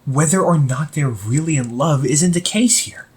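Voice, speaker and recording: Man acting a very husky young supervillain. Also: fairly high pitch, lively intonation. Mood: incredulous, insistent. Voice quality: husky, breathy. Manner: halting, earnest, conversational. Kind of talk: amateur audio roleplay, intimate one-sided dialogue to listener.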